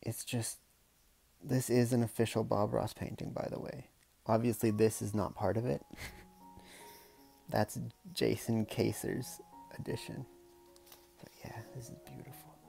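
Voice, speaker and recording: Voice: medium pitch, fairly even intonation, a little slow, creaky, pauses often, dark tone, slightly rough, soft background music. A storyteller reading a picture book aloud in a casual, playful way, with chatty murmured asides.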